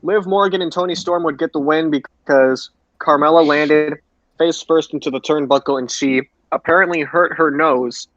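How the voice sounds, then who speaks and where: A man's voice: a little high, moderate pace, a bit bright, no echo. A man co-hosting a podcast, giving an informal recap on a lo-fi recording. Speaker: podcast co-host. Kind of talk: informal recap of wrestling show.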